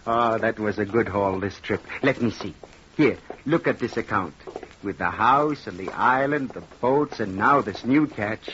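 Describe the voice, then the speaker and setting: A man's voice: lowish voice, measured pace, a bit bright. An actor playing a proud, doting fisherman husband and father with a hearty, indulgent manner in an old radio drama. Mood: happy, affectionate. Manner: hearty, indulgent, questioning "eh" tags. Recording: noisy muffled old radio recording.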